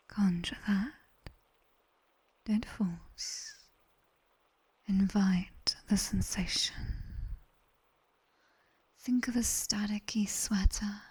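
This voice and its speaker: Breathy, whispering British demoness tutor played by a woman. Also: mid-range voice, darkish tone, exaggerated voice. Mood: coaxing, instructive. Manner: slow, dry, teasing instruction. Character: sharp-tongued female nightmare demon tutor, teasing yet coaxing. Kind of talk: ASMR roleplay, guided body scan. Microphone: close binaural microphone.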